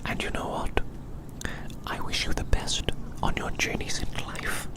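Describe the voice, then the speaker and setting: An ASMR performer whispering breathily and gently in a roleplay as a kindly, curious adventurer, often repeating phrases softly. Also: unhurried, low-quality recording. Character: kindly, curious adventurer fond of magical little creatures.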